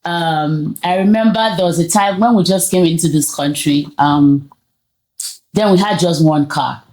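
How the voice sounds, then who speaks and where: A Nigerian woman hosts a relaxed, conversational talk. Her voice sits low for a woman. She speaks earnestly, often pausing and repeating words as she finds her way through a thought. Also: rough recording. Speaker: host of a talk show. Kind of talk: casual conversational hosting.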